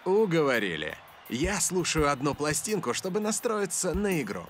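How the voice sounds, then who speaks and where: A lively, suave football star. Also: male voice, a little high, moderate pace. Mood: smug, playful. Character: cool, suave, confident young male football star. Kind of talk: animated cartoon dub. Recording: music behind the voice.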